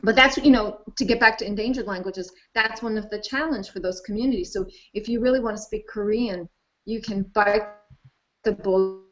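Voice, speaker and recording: A woman's voice: mid-range voice, fairly quick. Enthusiastic woman explaining languages. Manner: enthusiastic, thinks aloud with restarts. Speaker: language expert guest. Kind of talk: casual discussion. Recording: dull lo-fi live stream audio.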